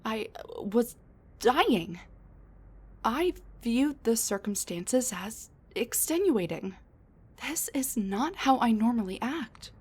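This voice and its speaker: Woman acting a slow-drawling, high-voiced sassy supervillain woman. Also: animated, darkish tone, breathy edge, heavily acted. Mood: wry, guarded. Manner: casual, wry, halting, slangy. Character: sassy, weary female supervillain, wounded and rambling. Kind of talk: roleplay audio drama addressed to the listener.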